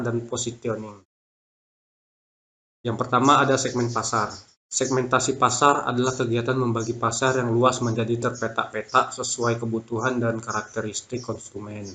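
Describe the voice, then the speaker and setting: Young man reading a presentation haltingly. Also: medium pitch, pushed and bright. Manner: stumbles over words and restarts them. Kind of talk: reading a prepared business plan presentation.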